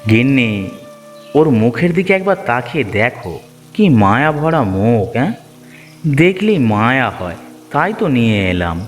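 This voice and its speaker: A man's voice: medium pitch, very animated, normal speed, natural voice. A man voicing a kind-hearted village fisherman in a cartoon story, concerned and coaxing, with music behind. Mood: pleading. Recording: background music.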